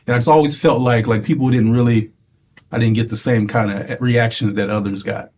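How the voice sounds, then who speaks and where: Man, light soft voice, hesitant, fillers, faint background noise. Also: mid-range voice, fairly even intonation, a little fast. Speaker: participant.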